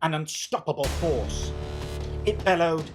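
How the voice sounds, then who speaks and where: A man narrating a folktale as a storyteller, theatrical and emphatic, with suspenseful pauses. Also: on the high side, music in the background.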